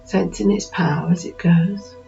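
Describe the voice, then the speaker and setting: A woman with a dark, relaxed timbre and a middling pitch leads a guided meditation. She speaks soothingly in short, unhurried phrases with pauses between them. The recording is a little dull and lo-fi, with faint music behind her. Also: creaky.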